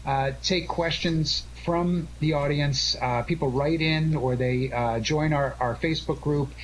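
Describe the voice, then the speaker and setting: A man in his forties or older chatting casually and earnestly as a live radio host, halting and full of thanks, over an internet call. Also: a little high, steady tone, rough recording. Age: 40s or older. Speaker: show host and director. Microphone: Skype-type connection. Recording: internet call with background noise.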